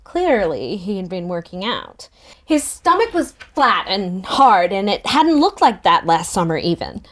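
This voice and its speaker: Woman reading a novel out loud as narrator, relaxed, pitch moves around a lot. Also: unhurried. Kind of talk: relaxed first-person audiobook narration.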